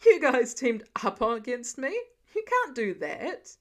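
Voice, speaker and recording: A woman puts on a storybook father who banters with his family. He is wry and teasing, quick to act playfully indignant. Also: fairly high pitch, very animated, fairly bright tone, full character voice.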